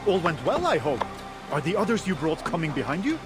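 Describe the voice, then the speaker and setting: A deferential Viking villager, rather high for a man and very varied in pitch. He speaks hesitantly, as in a game cutscene, over loud music. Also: sing-song, fairly quick. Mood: uneasy. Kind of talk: video game cutscene acting.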